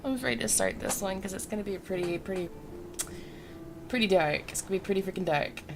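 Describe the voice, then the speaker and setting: A woman's voice: medium pitch, a little fast. A woman live streamer with a cold, chatting casually and jokily to her viewers. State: has a cold. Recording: clearly noisy background ambience.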